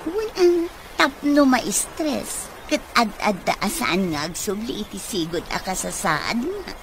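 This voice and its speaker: A woman's voice: mid-range voice, very animated, a little slow. A woman playing a sly, scheming accomplice in an Ilocano radio comedy-drama. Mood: sly, teasing. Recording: noisy radio drama mix.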